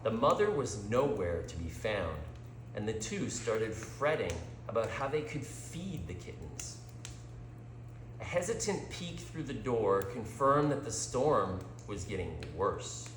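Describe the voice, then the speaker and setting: A roomy recording with a clear hum carries a man narrating a story. He reads slowly and deliberately, with dramatic pauses. His pitch moves in a lively way across the phrases. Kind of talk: expressive scripted story narration.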